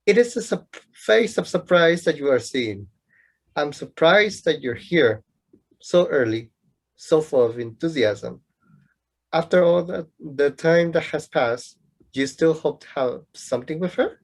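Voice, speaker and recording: A man's voice: on the high side, lively intonation, unhurried. A man acting a sarcastic, lovelorn young student in an amateur radio play, with a mocking, bookish, declamatory manner. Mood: needling.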